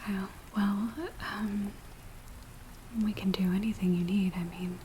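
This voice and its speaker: A woman playing an anxious, earnest villager in a hushed, breathy, hesitant ASMR roleplay voice. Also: mid-range voice, unhurried, lightly acted. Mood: hesitant, hopeful. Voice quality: breathy, whispery soft voice. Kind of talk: soft-spoken ASMR roleplay. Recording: steady rain noise behind close soft voice. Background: rain ambience.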